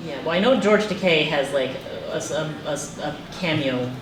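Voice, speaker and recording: A woman's voice: deep voice, normal speed. A woman hosting a casual live interview, asking questions in a tentative way and often rephrasing them. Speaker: interviewer, host. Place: echoey event room. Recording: echoey room, distant mic, noisy background.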